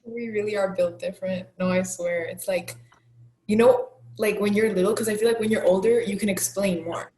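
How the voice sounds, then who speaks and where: A woman's voice: medium pitch, fairly even intonation, brisk, dark tone, echoey room, a little hiss or hum. A woman hosting a casual chat among friends, talking loosely with lots of fillers and frequent laughs. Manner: chatty, laughs often, many "like" fillers. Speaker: host.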